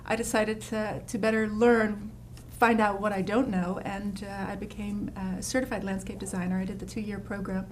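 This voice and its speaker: A woman from the Netherlands speaks as a guest in a relaxed interview. She talks with eagerness and many small fillers, at a middle pitch. Faint background noise sits under her voice. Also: moderate pace, hardly any pauses. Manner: warm, enthusiastic, many fillers and restarts. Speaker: landscape designer guest. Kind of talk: casual interview chat.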